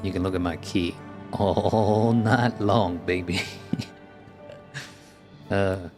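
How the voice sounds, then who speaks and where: A man with a fairly low voice streams a game with music clearly playing behind him. He is casual and joking, tossing out short reactions as he plays. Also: fairly even intonation, slow, a little mellow. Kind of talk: casual playthrough, reading subtitles and reacting.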